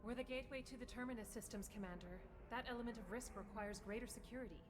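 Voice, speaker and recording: Woman acting a polite formal port greeter for a game, quick talker, big echo and noise. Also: a little high, lightly acted. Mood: businesslike. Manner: polished, official, deferential. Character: courteous, formal female port concierge. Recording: long reverb, noisy hall ambience.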